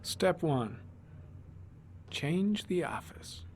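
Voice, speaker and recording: Dry clipped fbi agent in a crime game, kinda higher voice, guy voicing him. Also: expressive, extremely slow, darkish tone, slight background noise, full character voice. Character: male FBI agent, cool and analytical.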